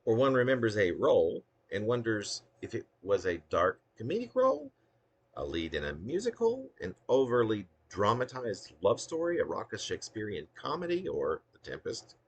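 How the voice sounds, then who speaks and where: Male poet, low-voiced and husky, for a wry, dramatic reading of humorous autobiographical verse. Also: very animated, normal speed. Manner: theatrical, wry, with emphatic swoops. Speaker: poet reading own work.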